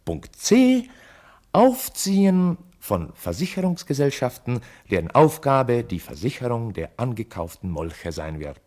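An actor narrating a German radio drama in the formal manner of someone reading out meeting minutes. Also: male voice, medium pitch, big pitch swings, a little slow, a little mellow. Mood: formal, animated.

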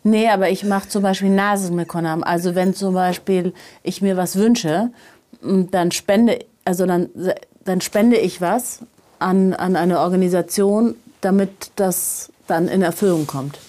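A middle-aged woman being interviewed, telling personal anecdotes in a casual, animated and emphatic way. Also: fairly low pitch, unhurried. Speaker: interview guest, journalist.

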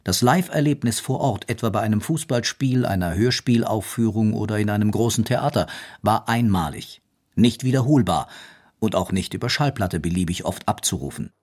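A man's voice: lowish voice, normal speed, a little mellow. A man reading scripted narration as a documentary narrator.

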